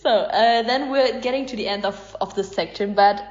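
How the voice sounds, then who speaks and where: A woman's voice: fairly high pitch, moderate pace. A woman hosting a casual podcast chat, asking questions in an informal, conversational way. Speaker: podcast host asking quick either-or questions. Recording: slightly dull podcast recording.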